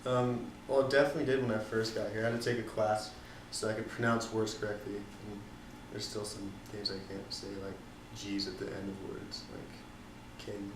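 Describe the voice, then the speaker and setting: A young man from the Netherlands answering interview questions casually, pausing often with ums and likes. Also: fairly low pitch, flat delivery, roomy sound, recorded from a distance, background noise. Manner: hesitant, many ums and likes.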